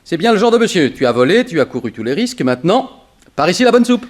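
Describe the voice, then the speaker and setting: An actor playing a cagey, indignant former burglar with a grudge, slangy and protesting, in 1960s French radio drama style. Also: male voice, sits high, sing-song, fast. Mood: sardonic, aggrieved.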